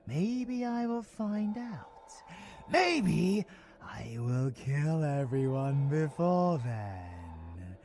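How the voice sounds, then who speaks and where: A British man playing a predatory, mocking vampiric abomination in a villain audio roleplay, savoring his phrases theatrically and slipping into breathy whispers. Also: a little high, big pitch swings, extremely slow, a little mellow, full character voice. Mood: cold menace.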